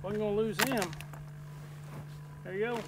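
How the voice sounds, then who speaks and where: Middle-aged man, folksy fishing vlogger, slightly high voice, recorded outdoors on a boat by a mounted camera. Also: measured pace. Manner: folksy, excited bursts while landing fish. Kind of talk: casual fishing commentary. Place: small boat on open lake, outdoors. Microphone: wide-angle camera mounted at the boat's stern. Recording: outdoor boat recording with wind and water noise.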